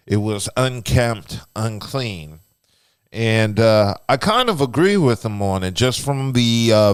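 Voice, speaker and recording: A man co-hosting a podcast, talking things through steadily with lots of fillers, a naturally loud and booming voice close on a studio mic. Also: smooth, no echo. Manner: steady explanatory talk with frequent fillers. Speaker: podcast co-host. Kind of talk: casual podcast discussion. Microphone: close radio-style studio mic.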